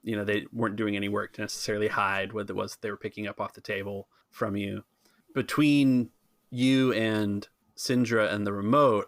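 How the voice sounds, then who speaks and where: Male game master, lowish voice, very dry sound, casual and easygoing narration. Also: no room sound.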